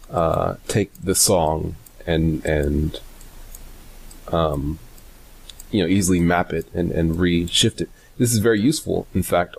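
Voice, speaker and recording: A man presenting a casual, unscripted software tutorial in a hesitant, rambling way, often correcting himself. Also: low voice, vocal fry, breathy edge. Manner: hesitant, halting, self-correcting, rambling delivery.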